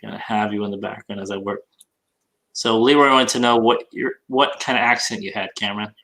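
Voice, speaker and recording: Bright-voiced man with a Minnesota accent. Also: on the low side, a little fast. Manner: friendly teasing banter. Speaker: podcast host. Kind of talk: casual chat while listing items.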